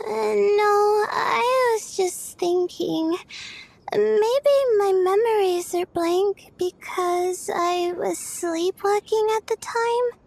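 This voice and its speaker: A young woman voicing a shy, sleepy student stargazer who speaks softly and hesitates often. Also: extremely high pitch, very slow, gravelly, full character voice. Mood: timid. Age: young adult. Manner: soft, hesitant, full of "uh"s.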